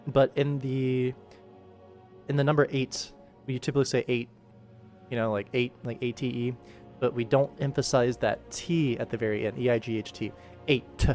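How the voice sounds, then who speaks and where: A man talks casually as the host of an animation work stream. His pitch sits in the middle for a male voice, and he is chatty, detail-focused and self-deprecating. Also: steady tone, moderate pace, darkish tone, soft background music. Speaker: animation creator and livestream host. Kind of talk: casual livestream work commentary.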